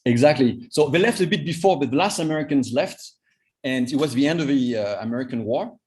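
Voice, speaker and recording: A man in his fifties with a French accent, whose first language is Vietnamese, giving a master class as a cross-cultural coach, halting and often repeating his words. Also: medium pitch, expressive, darkish tone. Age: 50s. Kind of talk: live online master class talk.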